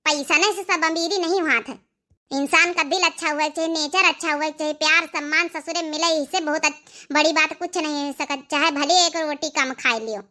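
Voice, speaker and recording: A woman's voice: high voice, steady tone, brisk, pushed and bright. A woman voicing a devoted young married sister in a cartoon family drama, earnest and emphatic, with an Awadhi accent. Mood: reassuring.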